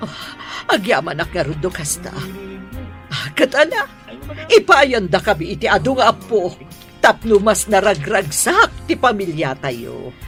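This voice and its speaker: Effusive, lively Filipino mother-in-law. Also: medium pitch, a little slow. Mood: warm, motherly. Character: older mother-in-law, doting matriarch pleased with her children's weddings. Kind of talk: radio drama acting. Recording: music bed under the voice.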